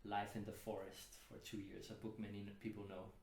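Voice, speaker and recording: A man's voice: lowish voice, monotone, fairly quick, gravelly, a little mellow, reverberant. A man hosting a casual, thoughtful book club conversation in a home studio, talking reflectively with many fillers. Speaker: host.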